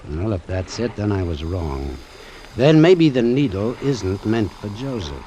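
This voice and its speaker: A man's voice: fairly low pitch, sing-song, a little slow, warm tone, slight background noise, rough recording. An American man acting a wary, wry doctor who narrates an old-time radio suspense drama in a terse, hard-boiled manner. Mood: grim, wry. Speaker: actor playing the narrator and lead. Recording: vintage radio drama with music underneath.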